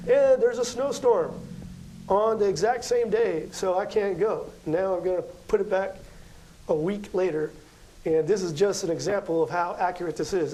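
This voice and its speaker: A man's voice: high voice, a little mellow, slight background noise, low-quality recording. An American man chatting as an interview guest, explaining things in a casual, conversational way. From Las Vegas, United States. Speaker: guest astrology card reader.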